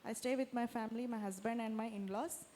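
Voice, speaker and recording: A woman's voice: fairly high pitch, steady tone, quick pace, mellow, slight background noise. A woman, an assistant professor, confidently introducing herself to a group. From India. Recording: distant mic in a reverberant hall.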